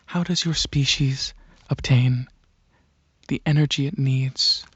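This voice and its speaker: A man performing soft-spoken ASMR roleplay as a calm alien dragon examiner, hesitant and clinical, with a breathy voice that slips into whispers. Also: mid-range voice, dry recording, muffled recording. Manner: hesitant, pausing, clinical questioning.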